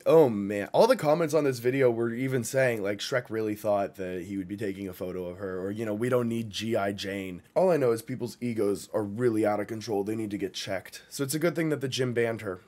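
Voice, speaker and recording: A man's voice: fairly low pitch, a little mellow. A young man giving casual, blunt, slangy reaction commentary with an exasperated manner. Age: young adult. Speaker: reaction video host. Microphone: boom microphone close by.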